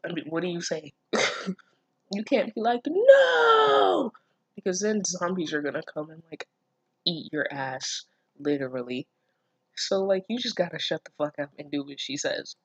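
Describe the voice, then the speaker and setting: A woman with a fairly low voice in a dry, echo-free recording. She comments casually and comically on what she reads, swearing freely. Her manner is sardonic and exasperated, often stammering. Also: normal speed. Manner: breaks into laughter and stammers. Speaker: reader and commentator of a fan fiction series. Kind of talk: casual comedic reading with running commentary.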